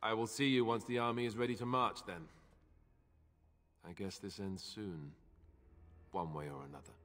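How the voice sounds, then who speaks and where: Lowish, frank warrior comrade, acted by a man. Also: fairly even intonation, moderate pace, fairly bright tone, natural voice. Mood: resigned. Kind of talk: dramatic fantasy game dialogue. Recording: long reverb of a large chamber.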